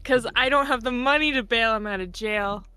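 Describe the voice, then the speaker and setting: A woman's voice: on the high side, brisk, sharp tone, clean tone. A woman playing a tabletop roleplaying game, bantering casually in a wry, joking way. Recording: music behind the voice. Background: background music.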